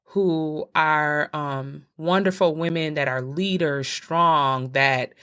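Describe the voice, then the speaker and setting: A woman with a slightly low voice hosts a podcast and introduces her guests. She talks in an earnest, unscripted way. She stops often to think before she goes on. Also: fairly even intonation, a bit bright, smooth. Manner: earnest, thinks aloud with frequent pauses. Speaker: podcast host. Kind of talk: casual spoken introduction.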